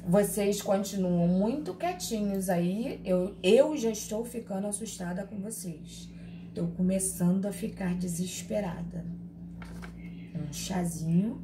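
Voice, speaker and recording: A woman from Rio de Janeiro with a Carioca accent, giving a law class online and chatting with her students in a lively, teasing way. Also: medium pitch, measured pace, background noise. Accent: Carioca Portuguese. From Rio de Janeiro, Brazil. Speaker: law lecturer. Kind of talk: live online class, reading chat questions. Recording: echoey room with faint ambience.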